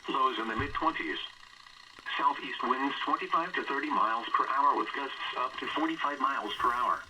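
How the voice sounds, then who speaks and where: A man's voice: mid-range voice, moderate pace, dull audio. A male weather announcer reading a scripted forecast, heard through a radio scanner speaker. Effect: played through a radio scanner speaker. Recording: distant radio speaker, faint noise.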